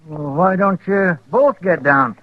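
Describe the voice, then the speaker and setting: An actor playing a meek, lonely rancher with a homespun, hesitant manner and a rural Western American accent in an old radio drama. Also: male voice, high-pitched, lively intonation, measured pace. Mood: neighborly. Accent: rural American West. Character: folksy, lonely male rancher, meek old-West prospector. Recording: dry, muffled old radio recording.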